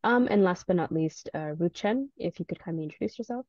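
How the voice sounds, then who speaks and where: A woman's voice: lowish voice, expressive, fairly quick, a little mellow, no echo. A woman facilitating an online student panel, speaking informally and politely with a self-deprecating manner. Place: online video meeting. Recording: dull, muffled online call audio.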